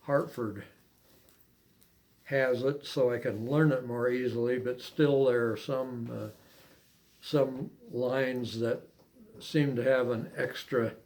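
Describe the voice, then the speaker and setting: An older man, an amateur poet, speaks in an unhurried, halting way as he reads verse and reminisces. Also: medium pitch, steady tone, a little mellow. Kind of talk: poetry reading and casual reminiscing.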